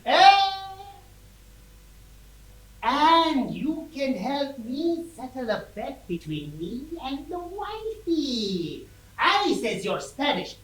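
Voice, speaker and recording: An actor playing a jovial, nosy old country farmer with folksy, teasing speech in a theatrical style. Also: male voice, very high-pitched, very animated, drawn-out words, fairly bright tone, a little hiss or hum, heavily acted. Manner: folksy dialect, chuckling. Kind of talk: theatrical stage-style acting. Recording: roomy, distant microphone.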